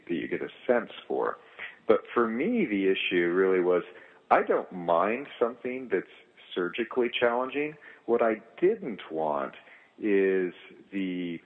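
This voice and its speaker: A man with a very low voice explains his surgical experience on a conference call. He talks in halting stretches, pausing as he searches for the next phrase. The sound is narrow and thin, as over a telephone line. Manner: halting, hesitant phrasing with pauses. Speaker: eye surgeon, guest speaker on a conference call.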